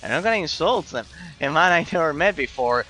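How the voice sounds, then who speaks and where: A man's voice: a little high, lively intonation, fairly quick, bright, forceful tone, low-quality recording. A man from Argentina with an Argentine Spanish accent, a native Spanish speaker, chatting casually and very politely with live-stream viewers and often repeating words for emphasis. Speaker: game streamer. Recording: faint game music behind voice. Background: faint music.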